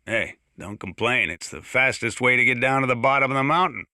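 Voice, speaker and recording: Casting: old American sheriff for a game radio station, folksy, drily humorous, rambling, crisp forceful edge, dry booth sound. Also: male voice, mid-range voice, a little fast, no room sound. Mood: amused, wry. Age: older. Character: folksy old small-town sheriff giving wry emergency broadcasts. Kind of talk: video game radio announcement acting.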